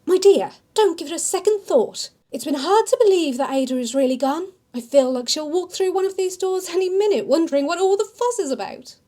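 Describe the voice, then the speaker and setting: A woman's voice: extremely high pitch, lively intonation, fairly quick, hardly any pauses, dark tone, voice pushed up. A woman doing a fond, fussing older lady shopkeeper. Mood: affectionate. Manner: affectionate, calls others "dear".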